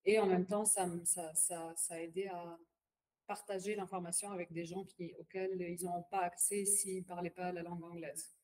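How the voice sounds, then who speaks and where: Mellow-voiced woman, halting and chatty. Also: on the low side, flat delivery. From Palestine. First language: Arabic. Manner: halting, many fillers, mixes in English phrases. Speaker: podcast guest. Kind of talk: casual interview chat.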